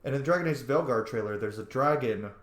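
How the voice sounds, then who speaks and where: A man's voice: medium pitch, fast talker, roomy sound. A man giving casual, sweary running commentary as he streams a roleplay video game. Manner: informal, sweary.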